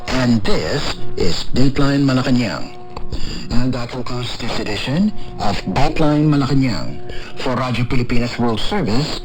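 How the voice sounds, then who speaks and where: A man's voice: medium pitch, rough recording. A man presenting a scripted news programme on shortwave radio. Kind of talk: scripted news broadcast announcement. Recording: shortwave radio broadcast aircheck. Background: faint music.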